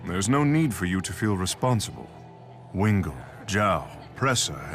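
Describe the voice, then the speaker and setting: A deep-voiced, stoic exiled king. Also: heavily acted. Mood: solemn, resolute. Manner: formal, measured, deadpan. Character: stoic, proud exiled king, grave and dignified. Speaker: video game voice actor. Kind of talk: scripted game dialogue. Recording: game music behind the voice.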